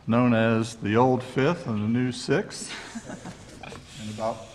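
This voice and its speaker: A man's voice: medium pitch, fairly even intonation, slow, darkish tone, a little hiss or hum. A man presiding over an appeals court session, easygoing and joking as he sets out the rules. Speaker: presiding judge. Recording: long courtroom reverb.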